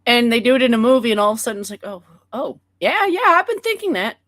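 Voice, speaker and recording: A woman's voice: a little high, sing-song, a bit bright, no echo. Opinionated woman co-host, dry mic. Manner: opinionated, quick to laugh and joke. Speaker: podcast co-host. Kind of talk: casual chat.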